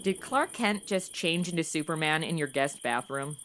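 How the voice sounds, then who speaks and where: A woman's voice: deep voice, moderate pace, noisy background. A woman playing a slangy, sardonic young American in a comic audio drama. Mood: teasing. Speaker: show host. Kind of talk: comic audio drama, casual modern banter.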